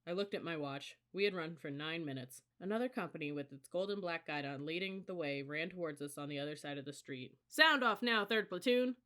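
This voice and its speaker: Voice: medium pitch, brisk, few pauses. An audiobook reader giving a scripted first-person narration of a story.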